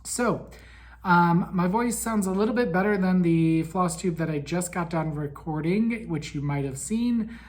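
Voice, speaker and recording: Raspy man with a head cold. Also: high-pitched, unhurried, a little mellow. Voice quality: raspy, weak in breath. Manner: chatty, many ums. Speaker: podcast host. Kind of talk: casual monthly recap chat.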